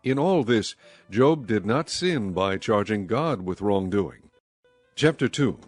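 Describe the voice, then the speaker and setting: A man reading scripted scripture narration as narrator of a dramatized audio Bible, in a measured, solemn manner. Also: lowish voice, expressive, faint music behind.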